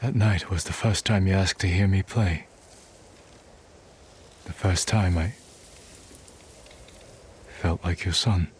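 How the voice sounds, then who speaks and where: An actor playing an earnest young samurai warrior with a serious, restrained delivery in a video game drama. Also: male voice, sits low, steady tone, slow, long pauses, a little hiss or hum.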